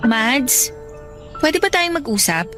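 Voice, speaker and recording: Radio drama role: preachy, studious young woman, high bright voice, earnest, over music. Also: very animated, slow, full character voice. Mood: concerned, pleading. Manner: earnest, admonishing. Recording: music bed under the voice.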